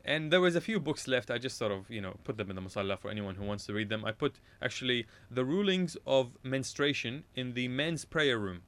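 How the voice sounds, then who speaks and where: A man's voice: mid-range voice, lively intonation, fairly quick, hardly any pauses, a bit bright. A man from Iraq, a radio show guest, telling a casual anecdote in a rambling way, often correcting himself and laughing at his own story.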